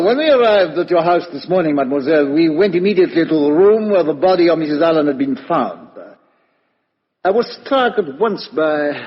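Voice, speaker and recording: A muffled vintage radio recording with long hall reverb carries a shrewd male foreign detective. His pitch swings widely as he reasons deliberately and courteously, building drama with rhetorical questions. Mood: intrigued, methodical. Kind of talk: 1950s radio play acting.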